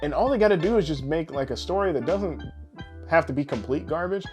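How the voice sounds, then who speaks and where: A man's voice: a little high, lively intonation, fairly quick, darkish tone. Casual man podcasting over guitar music. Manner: profane, joking. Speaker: podcast co-host. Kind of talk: casual banter about films and games.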